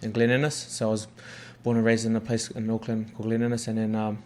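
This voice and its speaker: A man's voice: on the low side, monotone, brisk, a little mellow. A young man from New Zealand with a New Zealand accent, chatting casually about his life as a rugby league player, rambling and laid-back. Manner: laid-back, rambling, lots of fillers and swearing. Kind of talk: casual podcast chat.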